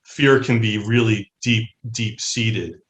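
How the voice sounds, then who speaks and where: A middle-aged American man, a musician, talking earnestly and haltingly as a guest in a casual interview. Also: on the low side, fairly even intonation, fairly bright tone. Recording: roomy echo.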